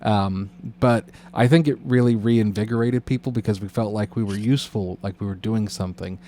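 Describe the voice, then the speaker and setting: Male podcast interviewer, slightly low voice, casual, halting and roundabout in delivery. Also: fairly even intonation, dark tone. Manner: halting, rambling, roundabout questions.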